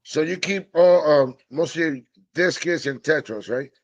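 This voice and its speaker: A man's voice: a little high, a little slow. A man in his early fifties with lots of energy, chatting casually about fish with friends. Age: early 50s. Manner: energetic, wired. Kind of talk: casual chat. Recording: dull lo-fi sound.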